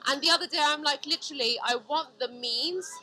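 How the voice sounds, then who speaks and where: A woman from Pakistan hosts a relaxed interview, eager and quick to restart her thoughts. Her voice is high and bright with a crisp edge. Faint background noise hums behind her. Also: poor audio. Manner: eager, restarts, addresses listeners as guys. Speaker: podcast host. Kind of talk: casual interview chat.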